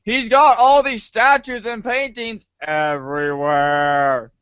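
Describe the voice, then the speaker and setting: Man acting an excitable, high-voiced teenage boy. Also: takes it slowly, clean tone, no echo, lo-fi sound. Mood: exasperated. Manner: exclamatory, swinging from hesitant to indignant. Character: excitable, defensive, foul-mouthed teenage boy. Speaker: voice actor. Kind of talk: amateur reader's theater acting.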